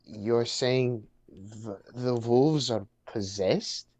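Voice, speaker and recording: A man playing an earnest, anxious young man who knows animals, explaining and halting in a dramatic script reading. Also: mid-range voice, darkish tone. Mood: tense, worried.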